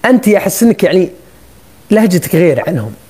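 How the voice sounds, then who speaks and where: A man's voice: high voice, big pitch swings, fast talker, warm tone, husky. A man hosting a casual live stream on his phone, teasing and joking with his guests. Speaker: livestream host.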